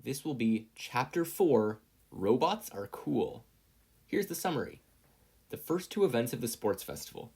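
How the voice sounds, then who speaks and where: A story narrator reading scripted fiction in an expressive storytelling manner. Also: mid-range voice, unhurried, vocal fry, husky. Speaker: podfic reader and narrator.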